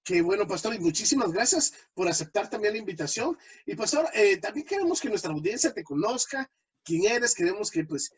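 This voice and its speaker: High husky-voiced man hosting an interview. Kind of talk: conversational interview.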